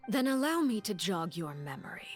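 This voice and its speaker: A woman's voice: mid-range voice, very animated, normal speed, soft background music. Silky, coolly menacing noblewoman. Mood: accusing. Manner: formal, silky, veiled mockery. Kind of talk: anime-style game voice acting.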